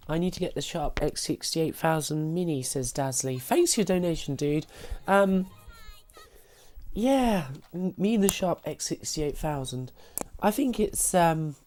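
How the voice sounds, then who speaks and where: Casting: woman game streamer, low mellow husky voice as if getting over sickness, casual and apologetic, music behind. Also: lively intonation, a little slow. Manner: self-deprecating, apologetic, giggly. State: recovering from sickness. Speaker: live streamer playing retro games. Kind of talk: casual live-stream gaming chat.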